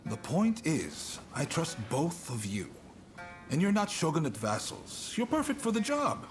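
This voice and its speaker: An actor playing a shrewd Shogunate official in a video game, speaking in a casual, confiding way. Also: male voice, on the high side, huge pitch swings, measured pace, faint music behind, full character voice. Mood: persuasive. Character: shrewd Shogunate official recruiting trusted agents. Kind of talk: video game cutscene acting.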